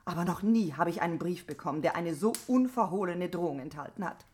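An actress in a radio play voicing a haughty opera prima donna, grand and self-assured in manner. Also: female voice, lowish voice, animated, fairly quick, a little mellow, close to an everyday voice. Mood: suspicious. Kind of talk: German radio play acting.

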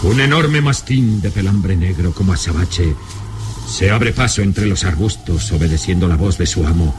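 A man narrating a gothic adventure radio serial in a solemn, suspenseful manner with deliberate phrasing. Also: sits low, expressive, noisy background. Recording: old radio serial with dramatic music. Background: dramatic music.

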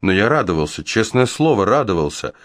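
A man with a fairly low voice reads a literary story in the first person, recorded dry and close. His delivery is expressive and wry, like an audiobook narrator telling his own tale. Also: fairly quick, no room sound. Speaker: audiobook reader.

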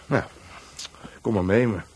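A man's voice: on the low side, unhurried, natural voice. A man playing a reserved, dryly questioning police sergeant in a Dutch radio play, sometimes awkward and hesitant.

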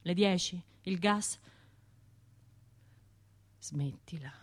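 A woman's voice: on the low side, very slow, husky, heavily acted. An actress playing a traumatised, self-reproaching woman survivor in a radio drama monologue, speaking in fragmented, obsessively repeated phrases. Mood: weary, haunted. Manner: fragmented, repetitive, obsessive delivery with hushed and surging phrases.

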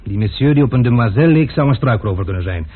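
A man playing a chuckling, mocking gentleman. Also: mid-range voice, animated, quick pace, slightly rough. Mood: impatient. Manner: amused, chuckling, teasing. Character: jovial, mocking male gentleman traveller. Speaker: gentleman master. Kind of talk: radio drama acting. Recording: muffled phone-like old radio recording.

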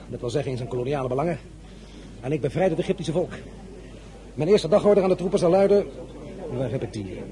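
An actor playing an ambitious, self-assured military commander in a radio drama, with an oratorical, pompous manner. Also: male voice, fairly high pitch, warm tone, close to an everyday voice, poor audio. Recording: crowd chatter behind voice.

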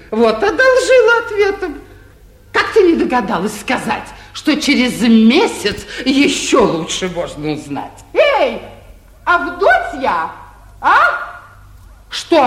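An actress playing a fussy, nosy, scolding middle-aged wife of a governor, theatrical and impatient, in a 1940s radio stage comedy. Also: female voice, high-pitched, heavily acted. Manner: theatrical, impatient, nagging, exclamatory. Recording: roomy old 1940s radio recording.

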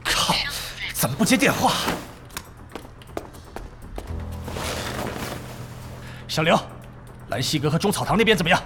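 A man's voice: on the high side, very animated, quick pace. An actor playing a scheming, vengeful young male guild leader in an audio drama. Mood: irritated. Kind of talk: Chinese audio drama acting. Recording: echoey hall reverb, faint music.

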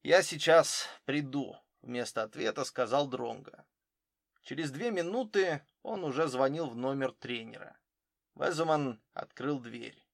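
A man's voice: medium pitch, no echo, clean studio sound. A man reading a detective novel aloud as scripted audiobook narration.

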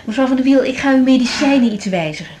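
An actress playing a young hospital doctor in a radio drama, direct, practical and caring though harried. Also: female voice, sits high, lively intonation, fast, a little mellow. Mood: soothing, reassuring. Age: young adult. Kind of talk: broadcast radio drama acting.

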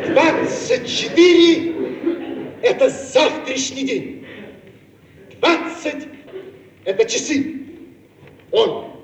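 An actor playing an excited, insistent inventor in a mid-century Soviet radio comedy, explaining things with emphatic, didactic delivery. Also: male voice, very high-pitched, extremely slow, pauses often, exaggerated voice. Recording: muffled, distant, echoey, hissy radio-play tape.

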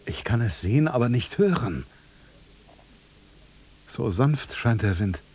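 An actor in a German radio drama playing an earnest, troubled, brooding journalist. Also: male voice, mid-range voice, animated, slow, darkish tone, slightly rough. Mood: uneasy wonder. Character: troubled, brooding male journalist, frustrated and haunted.

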